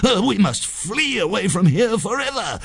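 A man voicing a quick-witted young man in a storybook, speaking in an earnest, dramatic, urgent way. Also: sits high, sing-song, creaky, husky, no room sound, pitched above the performer's own voice, exaggerated voice. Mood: feigned panic. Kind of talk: storybook character voice.

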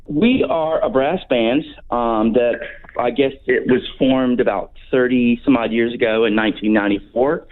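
Brief: Southern American man, sixties, halting friendly guest, tinny phone-line sound. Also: medium pitch. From North Carolina, USA. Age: 60s. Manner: hesitant, many ums and restarts. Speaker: radio guest, brass band member. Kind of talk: casual radio interview.

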